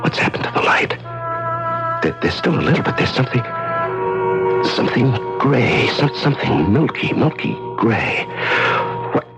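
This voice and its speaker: An actor playing a desperate, lonely prisoner in solitary confinement in a 1970s radio drama, halting and stammering, repeating himself with fervour. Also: male voice, mid-range voice, very animated, creaky. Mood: overwhelmed wonder. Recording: vintage radio drama with music.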